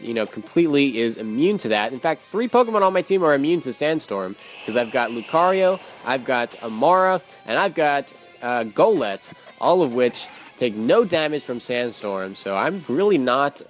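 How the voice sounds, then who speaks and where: A young man casually commenting on his own gameplay, rambling with self-mocking asides, his voice growing tired and hoarse from a long day of recording. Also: medium pitch. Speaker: let's play commentator. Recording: faint game music behind.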